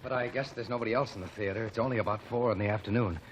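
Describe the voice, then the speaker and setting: A man's voice: on the low side, steady tone, rapid-fire, highs cut off, a little hiss or hum, natural voice. A man playing a shy young assistant stage manager in a 1940s radio drama, hesitant and polite.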